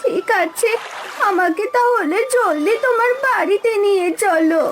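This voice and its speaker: A woman voicing an injured talking swan in a cartoon fairy tale, pleading in a drawn-out way for help. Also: very high-pitched, expressive, a little hiss or hum. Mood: earnest. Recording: music behind the voice.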